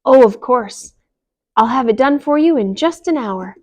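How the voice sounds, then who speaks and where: A woman's voice: fairly high pitch, expressive, measured pace, darkish tone. A woman voicing a friendly, obliging miller in a bedtime story. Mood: welcoming.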